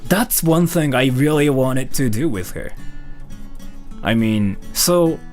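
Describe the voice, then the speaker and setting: A man's voice: medium pitch, expressive, slow, darkish tone, soft background music. A man from Japan streaming a live Q&A, rambling with lots of "like" fillers while thanking donors. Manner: rambling, heavy use of "like" fillers. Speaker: live streamer reading donations.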